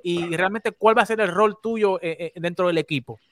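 A man's voice: high-pitched, normal speed, a bit bright, no echo. A Puerto Rican man hosting a sports talk show, enthusiastic and often restarting his sentences. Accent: Puerto Rican Spanish.

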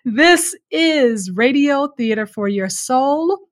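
A woman hosting a podcast, speaking in an effusive, gracious and deliberate way. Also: high voice, animated, no room sound, clean studio sound. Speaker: podcast host. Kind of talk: warm interview hosting with sign-offs.

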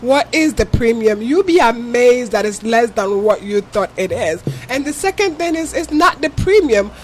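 A woman's voice: a little high, animated, normal speed, soft background music. A woman from Ghana with a Ghanaian accent, a guest on a talk show who explains insurance patiently and earnestly with examples. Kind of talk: interview answers.

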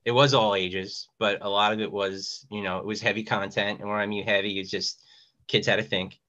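A man with a very bright, forward voice, chatting as a guest on a panel. He rambles casually and often says "you know". The recording is slightly dull and lo-fi. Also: lowish voice, level tone, brisk. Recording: dull, lo-fi sound.

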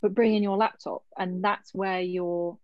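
A woman's voice: mid-range voice, fairly even intonation, unhurried, dry recording. A woman working as a casting director, giving thoughtful, chatty advice to actors in a workshop over a video call. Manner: thoughtful, chatty, thinks aloud. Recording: dull lo-fi video call audio.

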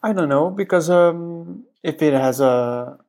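Man, fairly high voice, podcast co-host, hesitant, repeats himself. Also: unhurried, gravelly, darkish tone. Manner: hesitant, repeats words, laughs often. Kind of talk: casual tech chat.

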